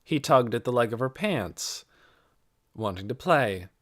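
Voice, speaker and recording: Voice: medium pitch, animated, a little slow, fairly bright tone. A narrator reading a novel aloud as scripted audiobook narration.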